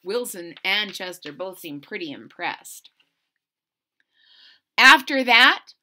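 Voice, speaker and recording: A woman reading a picture book aloud expressively, pausing to explain and ask young listeners simple questions. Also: on the high side. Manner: animated, explanatory, addressing children with questions. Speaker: reader of a children's picture book.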